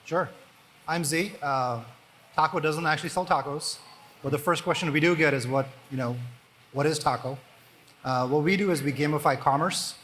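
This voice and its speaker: A man, a startup founder, speaking on a conference panel with relaxed, dry humor. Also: a little high, normal speed, a little hiss or hum.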